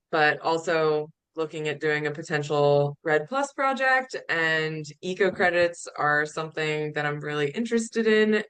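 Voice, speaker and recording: A woman's voice: deep voice, bright, forceful tone, smooth, no echo. A woman introducing herself on a video call as a manager of carbon projects, speaking in a friendly way. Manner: friendly, laughs between phrases.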